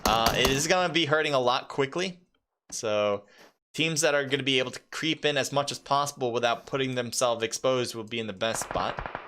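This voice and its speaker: A man doing live esports play-by-play in a mid-range voice, loose and chatty with plenty of "uh"s, his voice climbing sharply whenever a fight kicks off. Game gunfire and clicks run clearly underneath his commentary. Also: fairly quick, a little hiss or hum. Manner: excitable, pitch leaps during fights, casual fillers. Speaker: esports caster.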